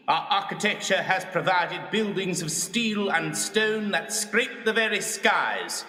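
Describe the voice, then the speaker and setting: High, sharp, pompous scholar played by a man. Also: full character voice. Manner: pompous, challenging. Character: sceptical, scornful male 1920s learned society scholar. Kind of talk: stage-style radio play acting. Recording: echoey hall, distant from microphone.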